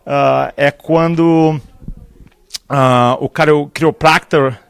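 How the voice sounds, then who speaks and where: A man's voice: fairly high pitch, slow pace, gravelly, smooth, dry recording. A man from Brazil, a native Portuguese speaker with a thick Brazilian accent, chatting animatedly as a live interview guest and acting out dialogue with laughs. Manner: animated storyteller, acts out dialogue, laughs often. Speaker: public speaking trainer, guest. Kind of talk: enthusiastic live interview chat. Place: conference venue.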